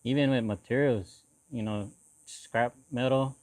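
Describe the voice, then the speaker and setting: A man in his forties from Hawaii, speaking casually as an interview guest in a halting way, often repeating short phrases. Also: mid-range voice, steady tone, darkish tone, no echo. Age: 40s. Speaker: interview guest, lowrider builder.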